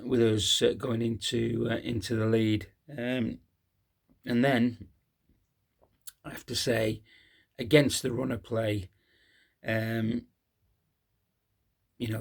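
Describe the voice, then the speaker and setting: A hoarse man, hosting a casual post-match fan talk, halting with many ums and uhs. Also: mid-range voice. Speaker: fan channel host.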